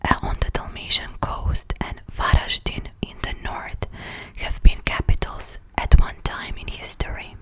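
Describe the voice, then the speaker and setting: A young woman with a Croatian accent whispers unhurriedly and very softly into a foam-covered studio mic held close. Her voice is breathy and hushed in an ASMR style, recorded in a small room at home. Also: unhurried, hardly any pauses. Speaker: ASMR creator. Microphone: large foam-covered studio mic close up.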